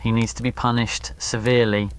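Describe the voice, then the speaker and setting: Guy doing a stubborn young wizard schoolboy for an asmr story, guarded, earnest, mid pitch. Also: flat delivery, fairly quick, natural voice, low-quality recording. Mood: quiet, worried. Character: defiant, devoted young male wizard student.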